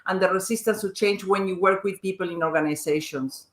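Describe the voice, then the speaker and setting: A woman's voice: mid-range voice, fairly quick, roomy sound. A woman hosting an interview, politely asking long, winding questions. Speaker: interview host. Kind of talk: interview over video call.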